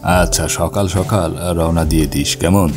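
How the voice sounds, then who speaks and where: Male actor as friendly uncle, very low pitch, cartoon dialogue, music bed. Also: unhurried. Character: friendly uncle back from years abroad.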